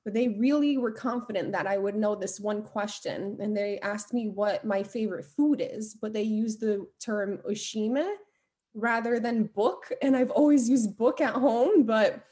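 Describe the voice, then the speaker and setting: A woman's voice: mid-range voice, unhurried, dark tone. A young American woman, a podcast guest, telling stories about her childhood in an upbeat, chatty way. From United States. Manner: upbeat, laughs often while telling stories. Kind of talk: casual interview chat.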